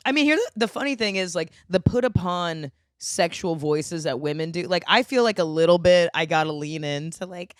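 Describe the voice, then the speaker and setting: A woman with a low voice hosting a podcast, telling crude comic stories in casual chat. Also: moderate pace, few pauses, no echo, studio quality. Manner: crude, animated storyteller. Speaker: podcast host.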